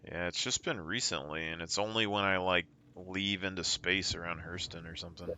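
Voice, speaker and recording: A man's voice: sits low, fairly even intonation, brisk, bright, forceful tone. A man streaming a game, chatting casually with a friend in an easygoing, rambling way. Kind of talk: casual gaming chat. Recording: noisy game audio behind. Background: game sounds and ship ambience.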